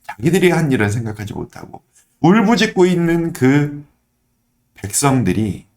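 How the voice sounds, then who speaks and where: A man's voice: on the high side, big pitch swings, normal speed, darkish tone. A man, a pastor teaching the Bible in a conversational, earnest way, his voice slightly affected by a throat cold. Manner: earnest, explanatory, emphatic repetition. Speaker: pastor giving a daily online devotional.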